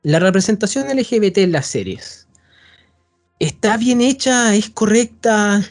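A man's voice: high voice, lively intonation, a little slow, soft background music. A man hosting a podcast, talking casually and rambling with frequent restarts. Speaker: podcast host.

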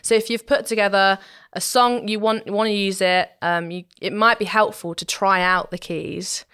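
A woman's voice: medium pitch, steady tone, normal speed, sharp tone, clean tone, no room sound, clean studio sound. A woman speaking conversationally as an interview guest, explaining things in a thoughtful way. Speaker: interview guest, church musician and singer.

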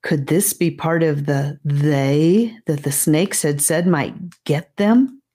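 Female audiobook narrator, low register, slow and measured reading of children's fantasy. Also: warm tone. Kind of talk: scripted fantasy story narration.

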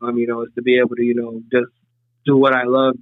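A man's voice: medium pitch, completely flat delivery, fast talker, no room sound. A man in his twenties, a podcast guest, talking casually and rambling with lots of "you know" fillers over a remote line. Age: 20s. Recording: tinny phone-like remote line.

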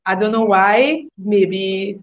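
A woman's voice: medium pitch, slow, dull audio. A young woman with a Trinidadian accent, chatting as an interview guest, laughing often and filling her talk with "like" and "you know". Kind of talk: casual interview chat.

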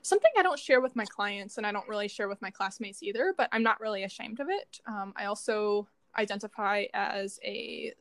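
A young woman speaks at a medium pitch in a dry, close recording. She co-hosts a podcast, talking in a casual and reflective way. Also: fairly quick, hardly any pauses, a bit bright, no echo. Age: young adult. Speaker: podcast co-host, doctoral student in psychology.

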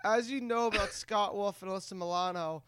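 A man's voice: sits high, fairly quick, a little hiss or hum. A man co-hosting a podcast in casual comedic banter, rambling and jokey with some swearing. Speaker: podcast co-host.

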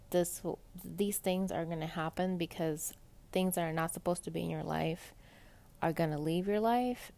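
A young woman with a soft, pleasant voice chatting casually and rambling in a personal podcast monologue, full of fillers. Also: low voice, creaky. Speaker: podcast host.

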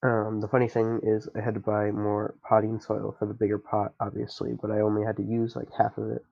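A man's voice: fairly low pitch, completely flat delivery, brisk, gravelly, highs cut off. A young man with a stuffy nose, chatting casually and rambling with lots of fillers as a podcast host. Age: young adult. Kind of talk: casual solo chat.

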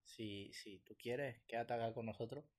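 A man's voice: medium pitch, steady tone, normal speed, darkish tone. A man with a Cuban accent hosting a podcast in an informal, slangy, chatty way. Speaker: podcast host. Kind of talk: casual chat.

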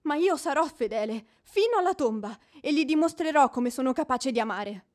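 A woman's voice: sits high, animated, moderate pace. A woman acting in a radio drama as an inconsolable, proud young widow, theatrical and declamatory. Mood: solemn, wounded. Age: young adult. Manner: declamatory, mournful.

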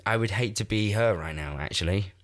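A man's voice: on the low side, a little fast. A man in his twenties with a blocked, congested nose, rambling self-mockingly and swearing as he hosts a solo podcast from a room in a shared house. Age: 20s. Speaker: podcast host. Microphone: desk microphone.

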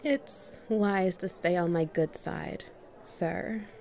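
A woman playing a confident, strict and subtly dominant female boss in a flirty audio roleplay, speaking in a measured, teasing way with deliberate pauses. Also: lowish voice, vocal fry, darkish tone, slight background noise. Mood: firm, commanding. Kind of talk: flirty one-sided roleplay dialogue.

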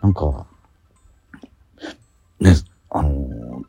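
Low-voiced man chatting, lively intonation. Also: husky, faint music behind. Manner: halting, searching for words, repeating himself. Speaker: radio show host. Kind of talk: casual promotional chat about a stage play.

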